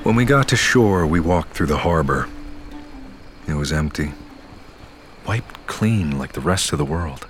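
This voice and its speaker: A haunted man telling his story in a very low register. He is weary and solemn, measuring out each line like an audio drama narrator, with clear music beneath him. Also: lively intonation, measured pace, long pauses. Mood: somber. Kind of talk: audio fiction narration and acting. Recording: music under the voice.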